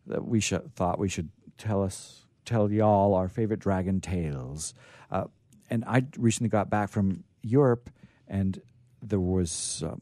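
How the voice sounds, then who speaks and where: A man casually introducing a storytelling program as its host, in a halting, informal way. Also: lowish voice, dark tone, dry recording.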